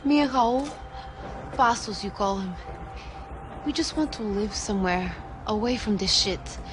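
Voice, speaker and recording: An actress playing a young pregnant woman on the run, speaking quietly and steadily in game cutscenes. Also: medium pitch, a little slow, background noise, close to an everyday voice. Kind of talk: video game cutscene dialogue. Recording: game soundtrack music behind.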